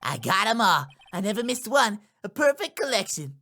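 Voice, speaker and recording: A man's voice: sits high, sing-song, normal speed, sharp tone, voice pushed up, exaggerated voice. A man voicing a young boy witness in a video game, nervous, defensive and prone to stammering. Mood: boastful.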